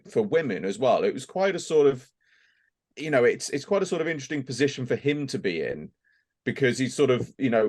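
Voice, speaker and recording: A man's voice: mid-range voice, darkish tone. A man chatting casually on a podcast as a voice actor guest, rambling a little and hesitant as he thinks aloud.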